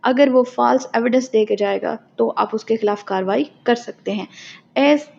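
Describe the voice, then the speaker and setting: A Pakistani woman lectures on law in a rather high voice with heavy vocal fry. She reads legal wording aloud and explains it like a teacher. The recording is dull and lo-fi, with faint noise behind her. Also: normal speed, darkish tone. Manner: didactic, explanatory.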